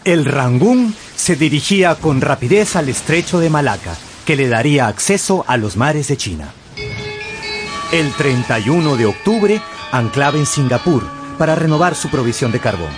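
A man narrating a radio drama from a script in a storytelling, clearly articulated way. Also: fairly high pitch, animated, moderate pace. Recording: music behind the voice.

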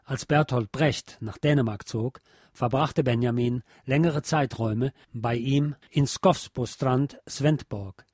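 A man's voice: medium pitch, slow pace, darkish tone, slightly rough, no echo, studio quality. A man narrating a biography from a script for radio.

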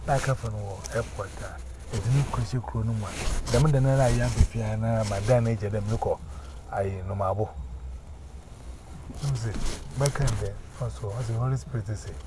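A man's voice: on the low side, normal speed. Man, Ghanaian accent, street preacher, emphatic, repeats words, noisy street recording. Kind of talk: preaching testimony. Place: outdoors on a busy street.

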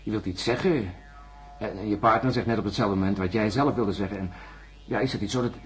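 Male actor as questioned astronaut, radio drama. Lowish voice, unsure, halting delivery, faint music behind. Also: close to an everyday voice. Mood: hesitant, unsure. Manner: halting, groping for words. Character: hesitant, uncertain male astronaut being questioned.

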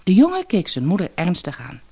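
A woman's voice: fairly low pitch, wildly swooping pitch, a little fast, mellow, husky. A woman narrating a scripted fairy tale as an audiobook storyteller.

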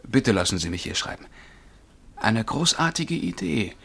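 An actor playing an eager, naive young would-be poet in a radio play, earnest, polite and a little self-important. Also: male voice, medium pitch, sing-song, normal speed, vocal fry, a bit bright, breathy edge, full character voice. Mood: eager pleading.

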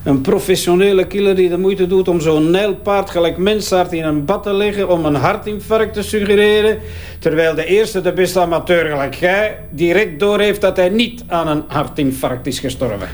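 Sardonic Flemish forensics man, played by an actor, higher-pitched. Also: normal speed, lightly acted. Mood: sardonic, amused. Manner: wry, bantering, hesitating as he examines. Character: talkative, sardonic male crime-scene forensic investigator. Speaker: forensic investigator. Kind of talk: naturalistic audio crime drama acting.

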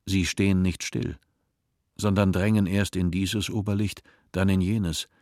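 A man narrating a radio play in a calm, measured storytelling manner. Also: low voice, dry recording, clean studio sound. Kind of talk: scripted narration.

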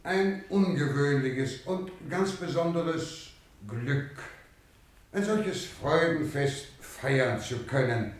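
Echoey, distant pompous family patriarch, ceremonial radio-play toast, deliberate slow delivery. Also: male voice, on the high side, expressive. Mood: solemn, festive. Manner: pompous, long-winded. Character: formal father hosting a party, giving a solemn toast. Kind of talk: radio play, ceremonial after-dinner speech. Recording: echoey hall, distant, faint noise.

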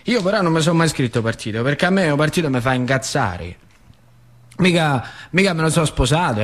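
Narratore teatrale laziale, molto espressivo. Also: male voice, medium pitch. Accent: central Italian, northern Lazio. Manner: colloquial, expressive, with repetitions. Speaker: actor and narrator. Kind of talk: dramatic storytelling monologue. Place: radio theatre broadcast. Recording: music behind the voice.

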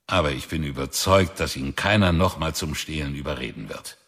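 Husky, very deep old drifter father; proud, gruff, weary, for a radio play. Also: fairly quick, fairly bright tone, exaggerated voice. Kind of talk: radio drama acting.

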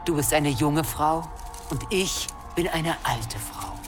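A stern old woman seer, solemn and incantatory. She speaks in riddles and repetitions, as in a radio play, with music clearly behind her. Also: sits low, slow. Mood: foreboding. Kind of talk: German audio drama acting.

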